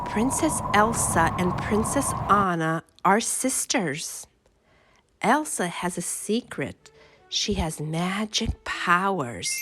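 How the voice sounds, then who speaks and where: A woman reading a simple children's storybook aloud as a storyteller, in short, deliberate, expressive phrases. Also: medium pitch. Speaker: children's read-along storyteller. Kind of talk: simple scripted storybook narration for young children.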